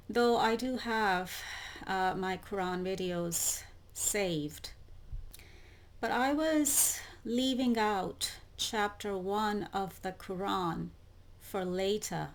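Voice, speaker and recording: A woman hosting her own channel, speaking in a slow, deliberate solo monologue full of repeated questions. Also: medium pitch. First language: not Arabic. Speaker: YouTube channel host. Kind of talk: solo spiritual monologue to camera.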